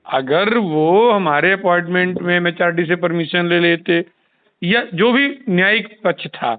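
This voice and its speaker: A man's voice: sits high, measured pace, clean tone. A man speaking as a union leader on a phone call, explaining insistently and repeating himself. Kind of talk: informal phone discussion. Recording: tinny recorded phone call.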